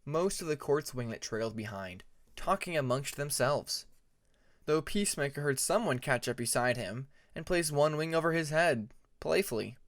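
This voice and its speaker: A man reading a fantasy story aloud as scripted narration, in a measured storybook manner. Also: on the high side, animated. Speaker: fan fiction audiobook narrator.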